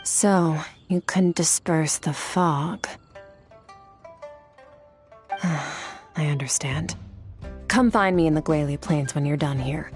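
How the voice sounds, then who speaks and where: A woman voicing a cool, curt young swordswoman in a video game, deadpan, terse and imperious. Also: low voice, slow pace, long pauses, faint music behind.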